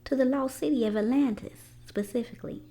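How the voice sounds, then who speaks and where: A woman voicing a kindly, reassuring father from a children's storybook in a measured, explanatory way. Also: high-pitched, dark tone, pitched above the performer's own voice.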